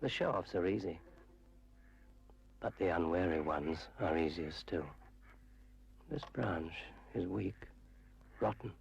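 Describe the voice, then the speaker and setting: An actor playing a malevolent water spirit, sinister and patient, narrating a 1970s public information film in a slow, ominous way. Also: male voice, expressive, highs cut off, heavily acted. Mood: menacing. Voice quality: low gravelly voice. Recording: noisy old 1970s film soundtrack.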